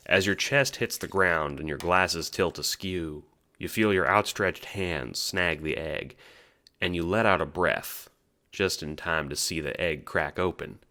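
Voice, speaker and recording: Man, audio drama narrator, very deep pitch, scripted storytelling, noisy recording.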